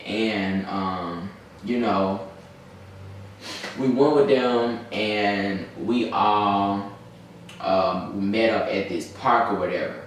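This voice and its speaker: A young man with a Southern US accent chats casually to camera as a vlogger, in a slangy, rambling, easily distracted way. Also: on the low side. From Birmingham, Alabama, United States. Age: young adult. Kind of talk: casual story-time chat to camera. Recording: very echoey room, distant mic, faint noise.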